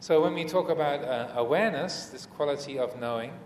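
A man gives a reflective dharma talk in a big, reverberant room, with some noise behind him. He speaks in measured short phrases and pauses often as he teaches. The echo of the hall trails his words. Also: on the high side, a little mellow. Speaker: Buddhist monk teacher giving a dharma talk.

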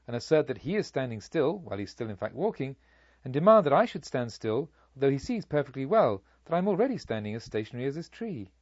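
A proud robber chief, played by a male audiobook reader, recounts his deeds in formal, measured phrases. His mid-pitched voice rises and falls dramatically, with vengeful indignation. The recording is dry and a little dull. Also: slightly rough, no echo. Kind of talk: audiobook story narration in character.

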